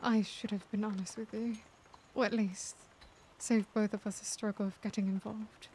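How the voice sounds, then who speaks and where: Woman acting a soft, mellow, tormented vampire lady. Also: mid-range voice, level tone, moderate pace, slight background noise, lightly acted. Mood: regretful, resigned. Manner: formal, old-fashioned, gentle address. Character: caring, tormented vampire lady, formal and remorseful. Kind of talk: ASMR roleplay, scripted dramatic monologue.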